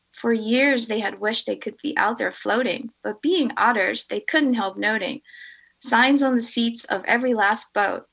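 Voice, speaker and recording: Middle aged dutch-native woman reading poetry, lots of vocal fry, bit lo-fi. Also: medium pitch, a bit bright. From Netherlands. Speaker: hospital research director reading a poem. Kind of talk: personal introduction and poetry reading.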